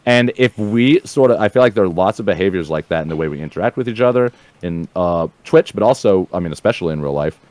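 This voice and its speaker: A man talking casually, fast and passionately, swearing, repeating and restarting words. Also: low voice, lively intonation, darkish tone, no room sound. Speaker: streamer guest. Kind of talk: casual chat.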